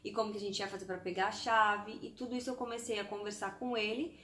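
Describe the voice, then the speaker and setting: A woman's voice: medium pitch, level tone, fast, a bit bright, a little hiss or hum. Chatty Brazilian woman in an echoey room. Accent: Brazilian Portuguese, from Santos. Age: 30s. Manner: chatty, spells out lists item by item with German words mixed in. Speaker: vlogger. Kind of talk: casual chat to camera.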